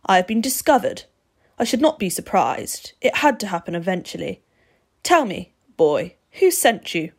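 A woman's voice: mid-range voice, sing-song, normal speed, breathy edge. A woman voicing a stern, menacing male vampire with formal, clipped, growling speech, in a storybook reading. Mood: stern, suspicious.

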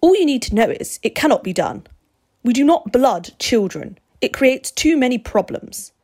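A woman's voice: on the high side, very animated, normal speed, a little mellow, breathy edge, voice pushed up, exaggerated voice. A woman voicing a stern, menacing male vampire with formal, clipped, growling speech, in a storybook reading. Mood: firm refusal.

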